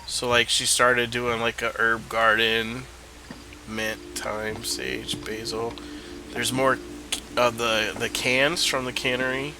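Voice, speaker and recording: A man's voice: mid-range voice, little pitch movement, slow pace, background noise. A man casually commenting while playing a video game, rambling and thinking aloud, with a stuffy nose. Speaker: gameplay streamer. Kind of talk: casual let's-play commentary.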